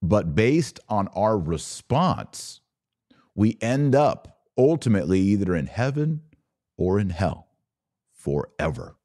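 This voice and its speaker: Slow, low-pitched man preaching emphatically. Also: very animated, a little mellow. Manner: blunt, informal. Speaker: podcast host. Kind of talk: religious commentary monologue.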